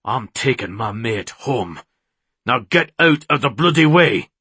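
A man playing an intense, devoted werewolf warrior from a romance audiobook, brusque and pleading, in a hoarse low murmur. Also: animated, slow pace, fairly bright tone, no room sound, heavily acted. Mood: defiant.

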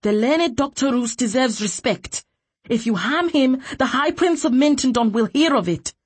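A narrator, a woman, plays a bold protective woman in a fantasy novel. Her voice is husky, earnest and formal, recorded dry. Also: mid-range voice, normal speed, darkish tone, no room sound. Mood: defiant. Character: bold, protective woman defying armed soldiers.

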